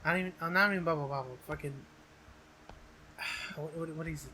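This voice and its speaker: A young man with a bright, fairly high voice banters as a podcast co-host. He talks loosely in slang and swears often, with faint noise behind him. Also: fairly quick, poor audio. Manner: profane, slangy. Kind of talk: casual chat, banter.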